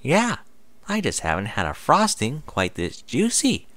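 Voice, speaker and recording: A man voicing a merry, excitable young female pony in a read-aloud story, with playful, exclaiming delivery. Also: mid-range voice, a little slow, no room sound, pitched above the performer's own voice.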